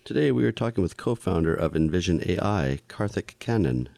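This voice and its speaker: A man's voice: deep voice, animated, brisk, gravelly, warm tone, no echo. A laid-back man co-hosting a casual podcast, laughing easily. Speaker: podcast co-host.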